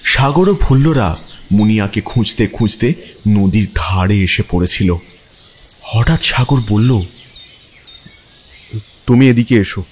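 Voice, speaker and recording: A man's voice: on the low side, lively intonation, unhurried. A man giving a dramatic, suspenseful scripted narration of a horror story. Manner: suspenseful, theatrical.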